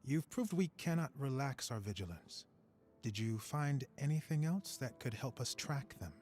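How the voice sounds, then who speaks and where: A man's voice: medium pitch, animated, normal speed, a little mellow, close to an everyday voice. An actor voicing a grave, formal male marshal in a fantasy video game. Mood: solemn.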